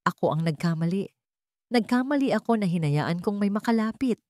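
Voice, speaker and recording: A woman's voice: mid-range voice, a little fast, no room sound, studio quality, lightly acted. A woman voicing an icy, wounded female chief executive in an audio romance, guarded, with a Filipino accent. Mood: cold, lifeless. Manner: flat, guarded.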